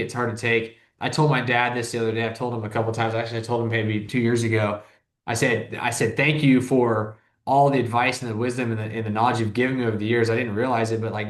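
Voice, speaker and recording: Fast-talking man in his thirties, echoey room. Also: medium pitch, fairly bright tone. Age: 30s. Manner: rambling, heavy use of "like", frequent restarts. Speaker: business owner, interview guest. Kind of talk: casual interview talk.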